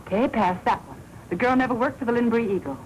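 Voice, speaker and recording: An actress playing a sharp-tongued, suspicious woman reporter with snappy, sardonic delivery in a 1940s crime film. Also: on the high side, quick pace, natural voice. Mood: accusing. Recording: noisy 1940s film soundtrack.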